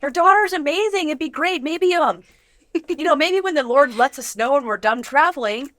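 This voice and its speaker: A woman's voice: high-pitched, lively intonation, moderate pace, fairly bright tone, dry recording, clean studio sound. A woman hosting a casual, chatty interview in an enthusiastic, exclamatory way. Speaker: interviewer and host.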